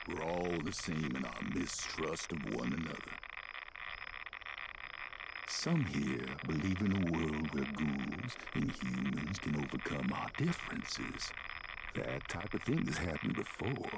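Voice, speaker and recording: An extremely deep, gravelly ghoul guard speaks like a video game character, guarded and world-weary. The intonation rises and falls widely as he broods with mistrust. Clear background noise sits behind the voice. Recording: noisy game ambience behind voice.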